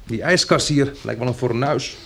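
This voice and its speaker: An actor playing a practical, wry survivor of a catastrophe in a radio drama, matter-of-fact and dryly ironic. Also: male voice, mid-range voice, normal speed, gravelly, slight background noise, close to an everyday voice. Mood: light, dry.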